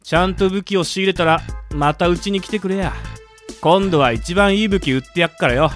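A man voicing a rough-talking middle-aged male weapon shopkeeper who is kind underneath, in a radio drama. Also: high voice, a little fast, fairly bright tone, soft background music. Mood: kindly. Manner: rough, clipped speech.

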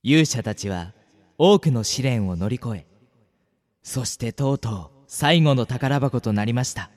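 A man narrating a comic fantasy radio drama in a calm, even storytelling manner. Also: mid-range voice, measured pace.

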